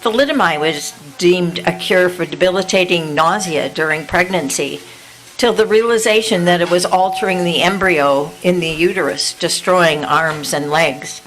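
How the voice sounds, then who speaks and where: An older woman reading her own poetry aloud at an event, measured and unhurried, then chatting loosely with fillers between pieces. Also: on the low side, fairly bright tone, faint music behind, rough recording. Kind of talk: poetry reading and spoken introduction. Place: indoor venue with an audience.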